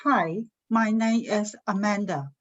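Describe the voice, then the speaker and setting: A woman's voice: medium pitch, slow, highs cut off. A woman taking part in a speaking course, practising a short self-introduction. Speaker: course participant.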